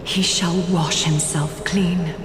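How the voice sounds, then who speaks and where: An actress playing a serene, solemn oracle in a game, reciting poetic prophecy in measured phrases. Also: female voice, on the low side, fairly even intonation, noisy background. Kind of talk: scripted game drama. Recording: echoey hall reverb, music behind.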